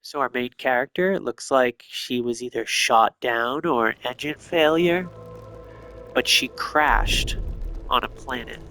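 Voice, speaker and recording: A man's voice: mid-range voice, measured pace, pushed and bright, faint music behind. A man giving casual, rambling, explanatory commentary as he plays through a video game. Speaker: gamer narrating a playthrough.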